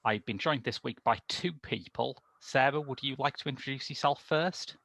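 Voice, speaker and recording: A man in middle age hosting a film podcast in a wry, deadpan manner, moving between prepared introduction and panel chat. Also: medium pitch, normal speed, creaky, dry recording. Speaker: podcast host and film critic. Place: small room indoors. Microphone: headset.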